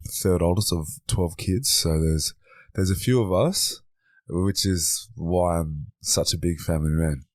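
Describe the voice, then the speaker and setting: A man, a guest on a podcast interview, talking in a relaxed way and often repeating words as he thinks. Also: sits low, unhurried, dry recording. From Australia. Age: 30s. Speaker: podcast guest, men's coach.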